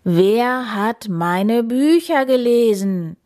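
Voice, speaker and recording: Woman doing a gruff grumbling storybook bear. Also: deep voice. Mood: grumpy. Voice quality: gruff, growly. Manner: grumbling, slow, forceful. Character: big grumpy gruff male bear, soft at heart.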